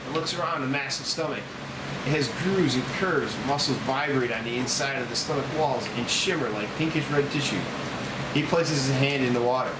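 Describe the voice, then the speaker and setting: A man's voice: mid-range voice, brisk. A man narrating scene headings and action from a script at a table read. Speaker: narrator of screenplay directions. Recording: roomy echo, loud air-conditioner-like noise.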